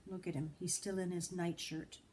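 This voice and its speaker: A woman's voice: on the low side, fairly even intonation, moderate pace, a little mellow, a little hiss or hum. A woman reading a children's folktale aloud as a storyteller, with dramatic emphasis and little asides to listeners. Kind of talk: expressive read-aloud narration of a children's folktale.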